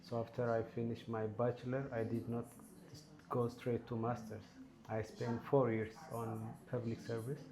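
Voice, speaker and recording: A man, answering interview questions in a measured, earnest way. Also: mid-range voice, completely flat delivery. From Ethiopia. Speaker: guest. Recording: crowd chatter in background.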